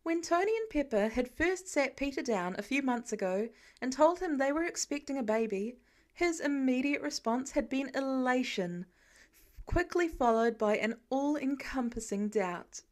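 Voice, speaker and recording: A woman with a fairly high voice narrates a written story. She reads scripted fiction in the manner of an audiobook. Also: expressive, normal speed.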